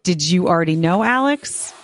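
Chatty woman podcast host, low voice. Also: expressive, moderate pace. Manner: chatty, self-deprecating, enthusiastic. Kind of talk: casual interview.

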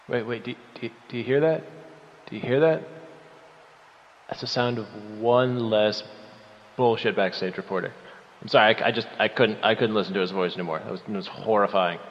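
A man's voice: on the low side, fairly even intonation, close to an everyday voice. Man acting cocky wrestling villain in echoey hall. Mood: mocking. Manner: mocking, menacing, halting with repeated words. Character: cocky, vengeful, sarcastic male pro wrestler cutting a villain promo. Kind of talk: rambling wrestling promo with profanity. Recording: dull lo-fi audio in echoey hall.

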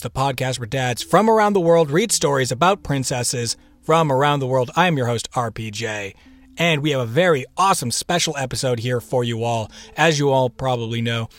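A man hosting a podcast with a playful, theatrical manner, chatting his way through a scripted introduction. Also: mid-range voice. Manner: playful, theatrical, with whispered echo-like repeats and asides. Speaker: podcast host.

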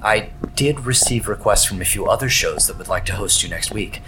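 A man plays a protective male assistant in a radio drama. The voice is fairly low, formal and fussy, officious in tone. Clear background noise sits behind it. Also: fairly quick, lightly acted. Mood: businesslike. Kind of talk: audio drama acting.

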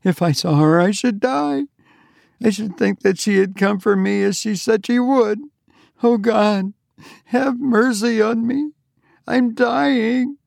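A man's voice: high-pitched, lively intonation, unhurried, hardly any pauses, a little mellow, no echo, studio quality, pitched above the performer's own voice. A man voicing a timid, frail woman who speaks fearfully and pleadingly. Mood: terrified.

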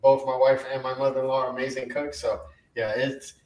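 A man's voice: mid-range voice, little pitch movement, brisk, a little mellow, distant mic. Easygoing male co-host, roomy and off-mic. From Colombia. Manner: easygoing, rambling. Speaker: podcast co-host. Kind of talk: casual podcast chat.

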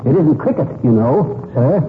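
An actor playing a bumbling, hot-tempered husband with mock-genteel airs in 1940s radio comedy style. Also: male voice, medium pitch, lively intonation, normal speed, lightly acted. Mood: pompous. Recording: narrowband 1940s radio recording with hiss.

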